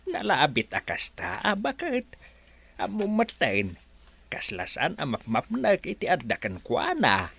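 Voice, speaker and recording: Old male resort hand played by a man, slow, hugely varied pitch, hesitant and respectful, radio comedy. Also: wildly swooping pitch, creaky, a bit bright, husky, no echo, heavily acted. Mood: chatty, reassuring. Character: deferential, cautious old male resort worker. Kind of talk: Ilocano radio comedy drama.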